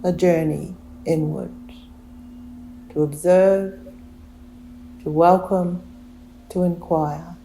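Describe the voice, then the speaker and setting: A woman teacher guides a relaxation meditation in a mellow, slightly low voice. Her delivery is very slow and gentle, with long pauses between short instructions. A creaky edge runs through her soothing tone. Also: fairly even intonation, slight background noise, rough recording.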